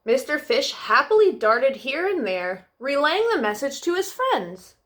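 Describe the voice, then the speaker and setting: A woman hosting children's storytime, expressive and affectionate, speaking simply as she would to young listeners. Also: high-pitched, unhurried. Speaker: storytime host reading to children. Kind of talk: animated children's storybook reading and friendly address.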